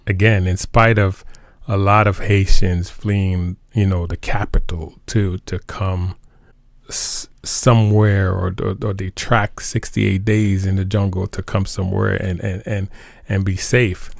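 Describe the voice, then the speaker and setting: Low, gravelly middle-aged man, halting and emphatic. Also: little pitch movement, dry recording, clean studio sound. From Montreal, Canada. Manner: halting, repeats phrases for emphasis. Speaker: interview guest, military veteran. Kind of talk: personal conversational interview.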